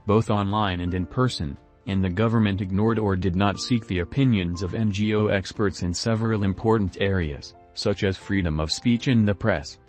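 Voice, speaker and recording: Male news narrator, lowish voice, steady scripted read over faint music. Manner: even, measured reading.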